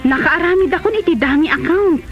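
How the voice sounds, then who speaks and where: A woman acts out an aggrieved, suspicious wife in a radio comedy drama. Her voice is extremely high. The audio is dull, with music clearly playing behind her. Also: a little fast.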